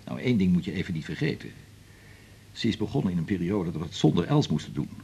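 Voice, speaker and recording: Quick, husky family doctor. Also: male voice, sits low, sing-song, warm tone, full character voice. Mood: matter-of-fact, sympathetic. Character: reassuring, practical male family doctor. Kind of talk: radio drama acting.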